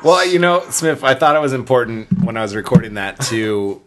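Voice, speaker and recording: A man with a raspy voice, hosting a casual podcast with mock-grand announcements. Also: mid-range voice, expressive, a little fast, crowd noise. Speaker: podcast host.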